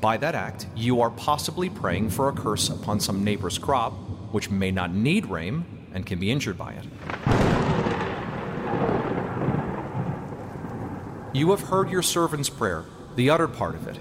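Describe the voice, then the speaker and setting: A man's voice: on the low side, very animated, heavy background noise. Man playing a solemn, commanding messenger of God. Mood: grave. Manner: oratorical, preaching in measured archaic phrases. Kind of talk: dramatic storybook narration.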